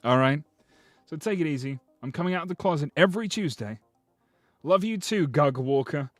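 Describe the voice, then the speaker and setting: A man with a slightly hoarse voice, rambling and joking freely as he chats through a livestream. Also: on the high side, animated, moderate pace, no echo, soft background music. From Britain. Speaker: streamer. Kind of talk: casual livestream commentary.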